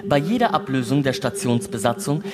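A man's voice: medium pitch, animated, a little fast, noisy background, close to an everyday voice. A man voicing an impassive space station computer in a sci-fi radio play, speaking in formal official announcements. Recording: long reverb, loud music behind.

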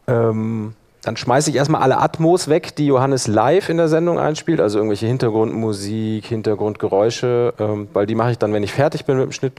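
A man with a fairly low voice leads a hands-on software workshop. He explains things informally as he works, talking himself through each step in a conversational, instructional way. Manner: informal, talking through clicks while working. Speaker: workshop presenter, audio editor. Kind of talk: live software demonstration, explanatory.

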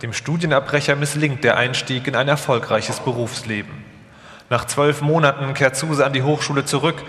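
A man's voice: medium pitch, fairly even intonation, moderate pace, a bit bright. A man reading scripted documentary narration live, as the narrator of a radio feature. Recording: echoey hall, long reverb.

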